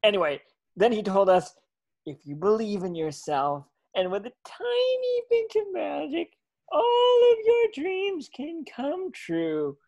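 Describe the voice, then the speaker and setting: A naive, cheerful cartoon sea sponge, acted out by a man. The voice is high and childlike, chattering eagerly, with pitch that leaps about. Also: slow, highs cut off, exaggerated voice. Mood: awed, chatty. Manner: excitable, chattering. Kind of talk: animated cartoon table reading.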